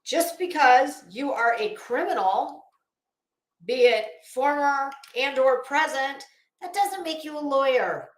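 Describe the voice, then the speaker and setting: A woman's voice: high voice, slow pace, reverberant, rough recording. A woman hosting an opinionated livestream, speaking to viewers in a blunt, scornful, emphatic manner and repeating phrases for weight. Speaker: livestream host.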